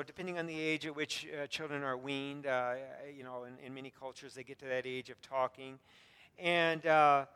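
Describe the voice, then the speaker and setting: A man preaching a sermon as pastor, earnest, with light self-deprecating humor, frequent fillers and restarts, and a voice he himself calls squeaky. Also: fairly bright tone, smooth. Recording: long reverb from a hall.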